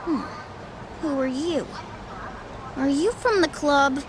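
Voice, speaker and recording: A woman's voice: high voice, slow pace, noisy background. A woman playing a lovestruck, touchy young woman in denial, in a video game dub. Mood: wistful, defensive.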